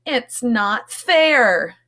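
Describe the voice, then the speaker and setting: A woman voicing anxious, complaining little storybook mice with a squeaky, plaintive, drawn-out delivery. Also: expressive, bright, forceful tone, heavily acted. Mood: whining.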